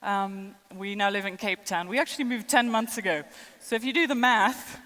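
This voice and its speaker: A woman's voice: mid-range voice, moderate pace, a bit bright, in a large hall. Woman with a shifting international accent. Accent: mixed international, French and American traces. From born in the United States, raised in six countries. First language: French. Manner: chatty and self-deprecating, with light laughs and fillers. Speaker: keynote speaker. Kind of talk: conference keynote speech. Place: large conference hall.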